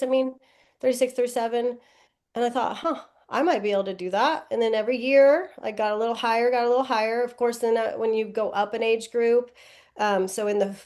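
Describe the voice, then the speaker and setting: A woman's voice: mid-range voice, darkish tone. A woman in her forties answering interview questions casually, rambling and correcting herself as she goes. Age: 40s. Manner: rambling, self-correcting, lots of "um" and "like". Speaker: guest athlete.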